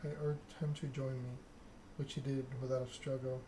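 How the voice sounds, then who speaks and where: Man narrating in a flat ASMR style, noisy background. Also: medium pitch, moderate pace. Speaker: video game player reading the story aloud. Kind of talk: ASMR game read-along, narration with dialogue.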